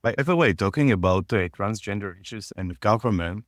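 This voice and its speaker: A man hosting a podcast conversation, talking in a halting way with many restarts. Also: lowish voice, expressive, no echo, clean studio sound. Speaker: podcast host. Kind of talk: conversational interview. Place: North America.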